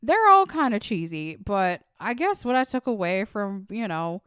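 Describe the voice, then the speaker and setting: Woman, 30s. Animated, easygoing podcast co-host with a smooth voice. Dry, close recording. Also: medium pitch, moderate pace, no room sound. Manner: animated, supportive, mock-whiny. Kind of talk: casual comedy chat.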